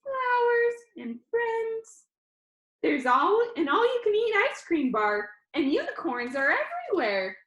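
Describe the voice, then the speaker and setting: A woman's voice: very high-pitched, wildly swooping pitch, slow, a bit bright, highs cut off, voice pushed up, full character voice. A woman voicing a cheerful, jokey yet sincere teenage boy video-maker in a novel read aloud. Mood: playful teasing. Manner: exuberant, playful, earnest. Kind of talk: children's novel read-aloud, character dialogue. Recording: echoey room.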